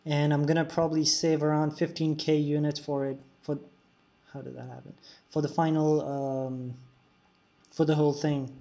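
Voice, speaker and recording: A man's voice: on the high side, little pitch movement, normal speed, dark tone. A man streaming a mobile game live, chatting casually with viewers and giving tips between fights. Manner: casual, answers chat while playing, frequent fillers. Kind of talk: live-stream commentary while playing. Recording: dull lo-fi recording.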